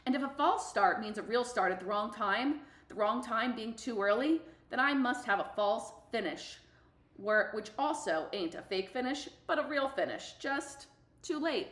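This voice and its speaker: A sassy middle-school girl runner, voiced by a woman, tells her own story in a storybook read-aloud. She is fiercely competitive and emphatic, with slangy phrasing. The voice sits fairly high and rings in an echoey room. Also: lively intonation, moderate pace, a bit bright. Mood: sassy, confiding. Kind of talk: expressive audiobook-style read-aloud.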